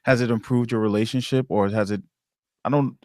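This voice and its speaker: A man hosting a casual interview, informal and halting as he rewords his questions on the go. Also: medium pitch, steady tone, darkish tone, no room sound, clean studio sound. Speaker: podcast interviewer, host.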